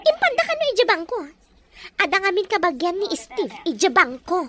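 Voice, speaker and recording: Woman as cruel mistress, very high voice, animated, domineering, mocking, audio drama. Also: fairly quick, full character voice. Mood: scheming. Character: cruel, scheming mistress of the house. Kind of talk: melodramatic audio drama dialogue.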